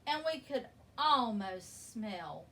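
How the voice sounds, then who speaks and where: A woman reading a children's picture book aloud in an expressive, deliberate storytelling manner, leaning on key words. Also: fairly high pitch. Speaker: story reader. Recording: distant microphone with background noise.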